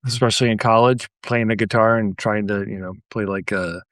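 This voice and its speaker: A man's voice: lowish voice, fairly even intonation, brisk, fairly bright tone, no room sound, studio quality. A man speaking casually as a guest on a music podcast.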